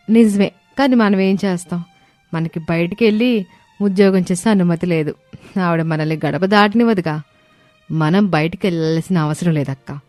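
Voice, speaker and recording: A woman's voice: on the low side, darkish tone, no room sound, close to an everyday voice. A woman voicing an earnest, eager young daughter-in-law in a dramatized story. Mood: aggrieved.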